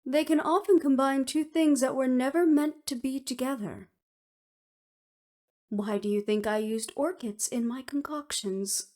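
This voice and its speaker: A woman's voice: high-pitched, unhurried, darkish tone, voice pushed up. A woman voicing a clever, unruffled imprisoned researcher in an audio roleplay, speaking precisely and with slight superiority. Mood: proud, explanatory. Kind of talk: scripted audio roleplay acting.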